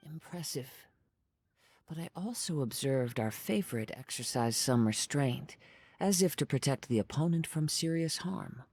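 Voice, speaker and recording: Gentle poetic young samurai guy, soft-spoken and formal, game character. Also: on the high side, lively intonation, moderate pace, husky, no room sound. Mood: measured praise. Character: calm poetic young wandering swordsman, gentle and thoughtful. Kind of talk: video game voice acting.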